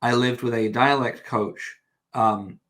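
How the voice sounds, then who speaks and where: A man's voice: mid-range voice, fairly even intonation, takes it slowly, a bit bright. A man in his thirties from New Zealand, with an accent blending New Zealand and American, chatting as a guest with lots of self-deprecating restarts. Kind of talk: casual chat.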